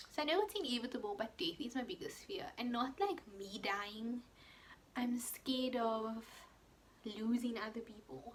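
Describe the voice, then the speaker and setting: A woman's voice: a little high, a little hiss or hum. A young woman chatting casually as she answers viewer questions in her vlog, full of fillers and quoted asides. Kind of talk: casual chat.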